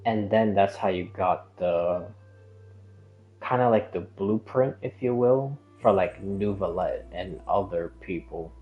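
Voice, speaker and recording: A man's voice: low voice, slow, lo-fi sound. A man chatting casually as a game streamer, rambling and hesitant, often trailing off and drifting onto tangents. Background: background music.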